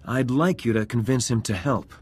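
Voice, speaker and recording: An actor playing a composed, idealistic resistance politician in a video game, measured and formal. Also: male voice, medium pitch, a little mellow, husky, close to an everyday voice. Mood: composed, earnest. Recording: music playing behind the voice.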